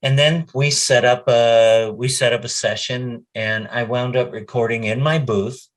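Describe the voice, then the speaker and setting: A middle-aged man with an average-pitched voice talks at a slow, easy pace. He is being interviewed as a voice actor and chats informally, acting out little quotes as he tells his stories. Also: steady tone. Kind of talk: casual anecdotal interview chat.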